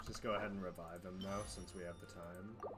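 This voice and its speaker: A man's voice: deep voice, little pitch movement, fast. A man doing casual live commentary as he streams a video game. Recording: loud game music behind. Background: game music.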